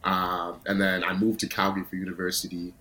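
A young man with a low voice speaks in a casual roundtable introduction. He is polite and informal, and the online call carries faint background noise. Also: completely flat delivery, a little fast, pushed and bright, low-quality recording. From Alberta, Canada. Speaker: roundtable guest, music producer.

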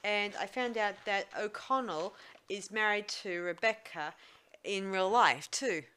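A woman's voice: medium pitch, measured pace, bright, forceful tone, slight background noise. A woman co-hosting a casual film review chat, often searching for words with ums and restarts. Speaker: podcast co-host.

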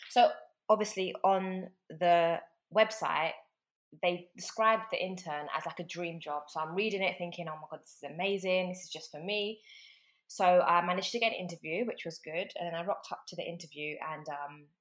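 A woman's voice: lowish voice, moderate pace. Chatty young woman from London. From London, England. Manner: chatty, animated storytelling with fillers and quoted thoughts. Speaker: football agent, guest. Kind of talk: casual storytelling interview. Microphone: remote call recording.

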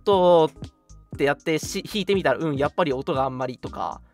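A man's voice: on the high side, a little fast, darkish tone. A young man hosting a casual radio chat, talkative, explaining things with asides and little acted-out remarks. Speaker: radio show host. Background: background music.

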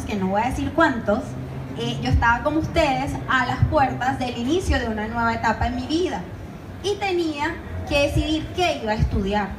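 A woman with a Venezuelan accent giving a talk to students, measured and checking in with the audience. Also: high-pitched. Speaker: guest speaker at a university. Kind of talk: presentation to students. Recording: echoey room with noise.

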